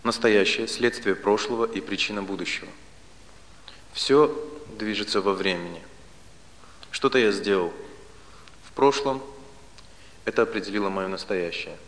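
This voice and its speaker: A man in his late thirties giving a spiritual philosophy lecture, speaking deliberately in short, didactic phrases with pauses and repetition. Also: on the low side. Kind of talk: lecture on Vedic philosophy to a live audience. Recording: reverberant hall, loud steady background noise.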